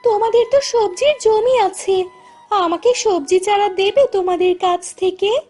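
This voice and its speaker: A woman voicing a poor, anxious village grocer's wife in a cartoon, high-pitched and pleading. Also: measured pace, a little mellow, faint music behind. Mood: worried.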